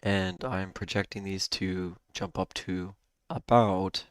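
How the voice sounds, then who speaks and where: A man hosting a live day-trading stream, hoarse and losing his voice, talking casually with a cough drop in his mouth and clearing his throat. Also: low voice, steady tone, slow pace, dry recording, clean studio sound. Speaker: livestream day-trading host.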